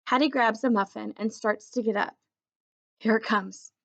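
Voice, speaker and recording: High-voiced woman reading a children's story. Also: steady tone, normal speed, vocal fry, muffled recording. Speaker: children's book reader. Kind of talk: expressive children's story read-aloud.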